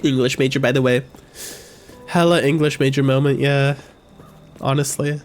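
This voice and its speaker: A chatty streamer talks casually over a game, poking fun at themselves. The voice sits at a middle pitch, with faint game music underneath. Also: steady tone, normal speed. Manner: chatty, self-deprecating, laughing. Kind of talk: casual gaming stream chat.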